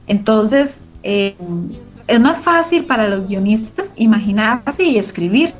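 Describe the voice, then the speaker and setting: A woman's voice: a little high, expressive, slow. A woman explaining her radio production work in an interview, searching for her words as she goes. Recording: muffled sound with music underneath. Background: music.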